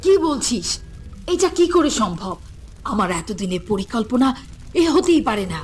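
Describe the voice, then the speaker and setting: A woman's voice: a little high, big pitch swings, a little slow, slight background noise. A woman voicing a wrathful, imperious evil sorceress in a fairy-tale cartoon, barking demands. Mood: angry, shocked.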